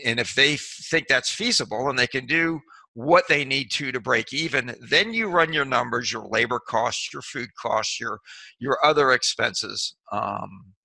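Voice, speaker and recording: A man's voice: medium pitch, vocal fry, bright, forceful tone, dry recording. A middle-aged man, a restaurant consultant and author, explaining in a rambling way full of fillers and restarts. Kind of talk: interview answer, casual explaining.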